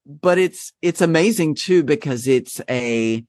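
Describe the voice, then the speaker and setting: A man from Texas, a drag performance artist, talking as an interview guest in a rambling, self-deprecating, animated way. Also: a little high, unhurried, dry recording, studio quality. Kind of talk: conversational interview.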